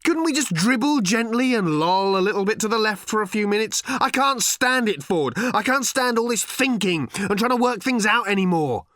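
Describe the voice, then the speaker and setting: A man's voice: mid-range voice, very animated, unhurried, fairly bright tone, dry recording, studio quality, voice pushed down. A man voicing an exasperated, weary, sarcastic man in a storybook reading, rambling and prone to bellowing outbursts. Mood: exasperated despair.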